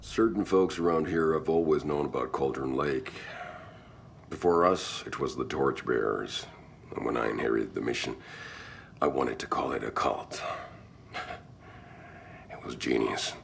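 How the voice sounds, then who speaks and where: Cult boss for a game cutscene: very deep, creaky, sly and menacing, faint rumbling noise behind. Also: male voice, measured pace, pauses often, exaggerated voice. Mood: smug, sly. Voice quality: gravelly. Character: gravelly local male cult leader, menacing and self-assured. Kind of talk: video game cutscene acting. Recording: light background noise with low rumble.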